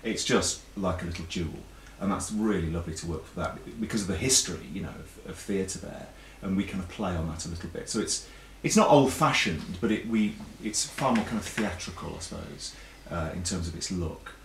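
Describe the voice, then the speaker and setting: Deep-voiced British man in an echoey room. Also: fairly quick, hardly any pauses. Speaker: interview guest, pantomime writer and performer. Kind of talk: casual chat show interview. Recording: echoey room, distant mic, faint background noise.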